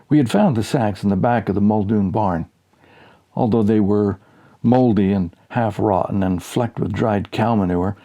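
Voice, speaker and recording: A man narrating a humorous short story as an audiobook, with dry, measured storytelling. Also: fairly low pitch, creaky, warm tone.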